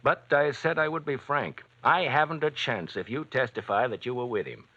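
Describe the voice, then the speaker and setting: A stern district attorney who is frank and presses hard. His voice is bright and firm, and his delivery is measured. The old radio recording sounds muffled. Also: mid-range voice. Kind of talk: 1940s radio acting.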